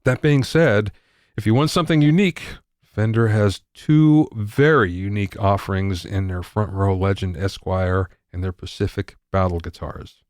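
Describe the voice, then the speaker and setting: A man's voice: fairly low pitch, moderate pace, darkish tone, no room sound, studio quality. A man hosting a music-production podcast, reading news items with wry asides before introducing his guest. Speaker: podcast host. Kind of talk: scripted news segment and interview.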